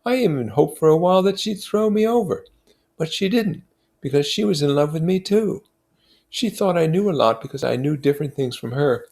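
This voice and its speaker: An earnest young man in love , played by a male audiobook reader, confiding wistfully and eagerly. The voice is lifted well up in pitch, with an effusive, storybook manner. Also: animated, a little fast, vocal fry, a little mellow. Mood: earnest, lovestruck.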